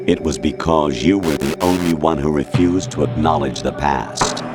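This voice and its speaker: An actor playing a cold, condescending artificial intelligence that poses as a military commander, lecturing in a measured, menacing way for a video game. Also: male voice, rumbling low. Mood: clinical lecturing. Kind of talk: scripted video game voice acting. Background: prominent background music.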